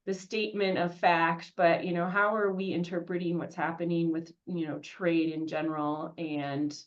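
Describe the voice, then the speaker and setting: A woman's voice: on the low side, level tone, normal speed. A woman moderating a financial conference call, putting questions to panelists in a conversational way. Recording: slightly dull conference call audio.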